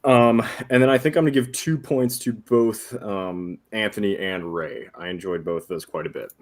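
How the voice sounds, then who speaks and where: A man's voice: fairly low pitch, moderate pace. A man judging a comedy game show in casual, joking chat. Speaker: guest judge on a game show.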